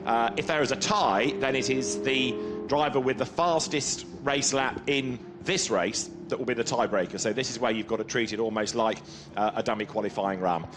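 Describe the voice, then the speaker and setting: Measured male motorsport commentator. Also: medium pitch, music in the background. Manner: measured, informative, explaining rules. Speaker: race commentator. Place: commentary booth.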